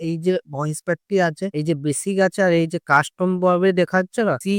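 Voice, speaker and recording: A man's voice: high voice, fairly even intonation, fast, clean tone, dry recording, studio quality. A young man narrating a step-by-step tutorial as a video host, explaining in an instructional, repetitive way.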